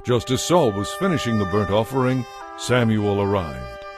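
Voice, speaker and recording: A man's voice: on the low side, big pitch swings, normal speed, music in the background. A man narrating a dramatized scripture audiobook in a scripted, storytelling style.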